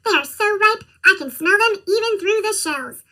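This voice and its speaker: A woman's voice: extremely high pitch, lively intonation, normal speed, pushed and bright, full character voice. A woman voicing a devoted, eager male goat in a children's storybook, with a sped-up chipmunk effect. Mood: pleased. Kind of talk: children's storybook read-aloud.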